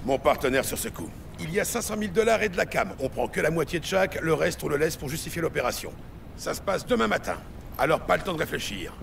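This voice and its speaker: An actor playing a blunt, determined, streetwise police lieutenant in an audio drama. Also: male voice, fairly high pitch, big pitch swings, fast, creaky, a bit bright, a little hiss or hum, full character voice. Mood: brisk, conspiratorial. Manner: blunt, slangy. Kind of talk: French audio drama acting.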